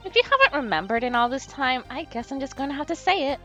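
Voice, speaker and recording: A woman's voice: high voice, animated, fast, fairly bright tone, soft background music. A woman voicing a cheeky, playful young female thief with a teasing delivery.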